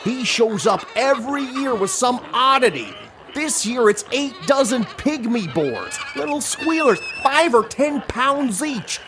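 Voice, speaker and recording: Animated, folksy county fair woman. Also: on the high side, unhurried, noisy background. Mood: chatty, folksy. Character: chatty, folksy county fair livestock hand, quick to scold. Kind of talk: audio comedy acting.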